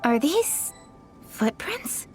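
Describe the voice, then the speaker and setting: A woman's voice: high voice, sing-song, drawn-out words, over music, heavily acted. A woman playing a dutiful young investigator, composed, polite and earnest, in an English game dub. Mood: intent.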